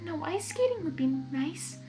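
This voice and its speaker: A woman puts on a timid young female pony from a cartoon fan story. The voice is very high, soft and small, hesitant and apologetic, over faint music. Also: big pitch swings, unhurried, heavily acted. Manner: hesitant, trailing off.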